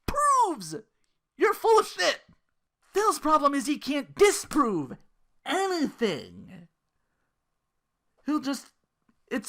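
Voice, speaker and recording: A podcast host giving casual, exasperated and sarcastic commentary in an animated way, swearing freely. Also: medium pitch. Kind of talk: casual ranting commentary about an internet personality.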